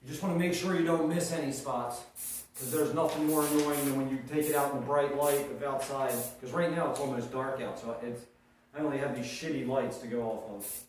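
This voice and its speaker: A man with a slight sniffle from a cold, talking in a soft-spoken, rambling, self-correcting way as he explains a paint job step by step in a house. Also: medium pitch, fairly even intonation, fairly quick. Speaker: DIY restoration vlogger. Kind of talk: casual how-to narration while working. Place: living room of a house, working on a frame. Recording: echoey room, far from mic, noisy.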